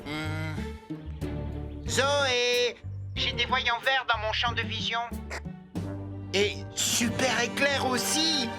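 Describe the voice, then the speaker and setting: An eager young cartoon builder, full of excitable fan enthusiasm, speaking at an unhurried pace. Loud cartoon music runs under his lines. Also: extremely high pitch, full character voice. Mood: excited. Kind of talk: French cartoon dubbing. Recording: loud soundtrack music behind.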